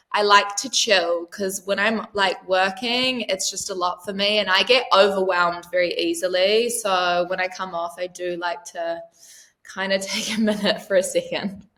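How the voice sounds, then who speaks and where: A woman in her thirties from New Zealand talks through her answers in an easy, chatty way. She leans on "um" and "like" as she goes. Her voice is bright and clear, set at a medium pitch. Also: steady tone, measured pace. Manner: chatty, frequent "um" and "like". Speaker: interview guest, yacht crew member. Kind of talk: casual interview answers.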